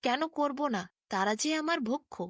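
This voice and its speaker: Woman voicing a taunting, high-pitched water demon. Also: expressive, unhurried, dry recording, studio quality. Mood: gloating. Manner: taunting, interrogating, commanding. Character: wicked magical male water demon, taunting, menacing.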